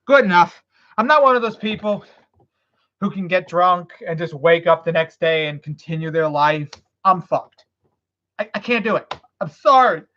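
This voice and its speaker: A woman's voice: on the low side, lively intonation, moderate pace, a bit bright. Woman streamer, casual rambling chat, profane and loose, dull lo-fi audio. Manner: rambling, swearing, loose after beers. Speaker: live streamer.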